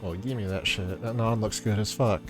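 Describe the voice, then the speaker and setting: A man's voice: lowish voice, steady tone, moderate pace. A man giving casual gameplay commentary as a streamer, offhand, slangy and full of swearing. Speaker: game streamer. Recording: game music behind the voice.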